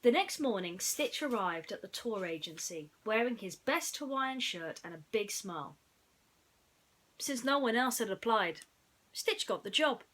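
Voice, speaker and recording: Woman, children's storyteller: very lively intonation, bright delivery, playful and theatrical with chatty asides. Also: a little high, unhurried. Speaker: children's bedtime story reader.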